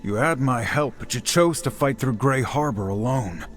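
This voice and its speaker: A man's voice: medium pitch, animated, normal speed, faint music behind, close to an everyday voice. A man playing a brooding, wounded hired protector in a video game, terse and reserved. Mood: hurt, reproachful. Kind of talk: video game character acting.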